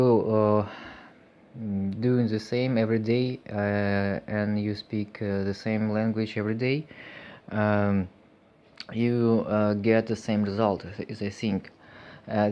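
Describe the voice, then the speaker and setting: A man with a Russian accent, a native Russian speaker, talking in an unscripted personal vlog, halting and full of fillers, reflective and earnest. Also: lowish voice, highs cut off. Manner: hesitant, halting, many fillers. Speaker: vlogger.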